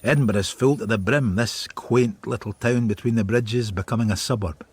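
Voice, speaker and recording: Husky, brooding Scottish detective narrator. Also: male voice, on the low side, normal speed, dry recording. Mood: weary, brooding. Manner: terse, observant, sombre. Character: brooding, world-weary male detective narrating. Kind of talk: first-person radio drama narration.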